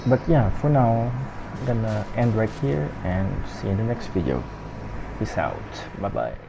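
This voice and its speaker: A gaming streamer giving casual, low-key, somewhat hesitant gameplay commentary. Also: expressive, normal speed. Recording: clearly noisy, ambient background.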